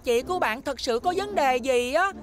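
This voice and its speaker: A woman's voice: high-pitched, expressive, moderate pace, a little hiss or hum. A woman playing a conceited, condescending little schoolboy in a cartoon dub, with a southern Vietnamese accent. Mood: calculating. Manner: self-assured, condescending. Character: smug, conceited little schoolboy, popular and vain. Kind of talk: animated cartoon dub acting.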